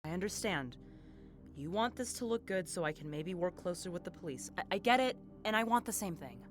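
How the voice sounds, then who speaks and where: A woman's voice: lowish voice, expressive, brisk, lightly acted. Earnest woman playing female investigator, music underneath. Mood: subdued, guarded. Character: female investigator working with police, determined but guarded. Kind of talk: audio drama acting.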